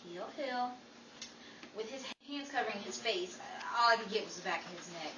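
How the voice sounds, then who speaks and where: A woman's voice: medium pitch, lively intonation, normal speed, lightly acted. A woman playing a hospitable 1940s housewife in an old-time radio comedy. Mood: polite, dry. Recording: echoey room, distant mic, clear noise.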